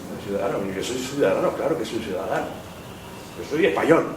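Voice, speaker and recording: A man's voice: mid-range voice, expressive, fairly quick. A man giving an academic lecture, rambling and rephrasing with frequent hesitations. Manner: discursive, rephrases often, many "eh" fillers. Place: lecture hall. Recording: echoey hall, distant mic, background noise.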